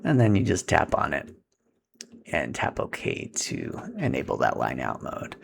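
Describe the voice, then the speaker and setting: A man's voice: very deep voice, huge pitch swings, measured pace, creaky, a little hiss or hum. A man giving a casual, step-by-step setup tutorial in an informal, instructional way as he handles equipment.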